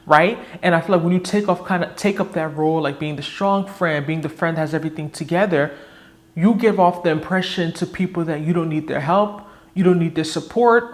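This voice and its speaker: Candid woman in her thirties vlogging. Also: low-pitched. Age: 30s. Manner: candid, reflective, frank. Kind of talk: casual personal vlog talk.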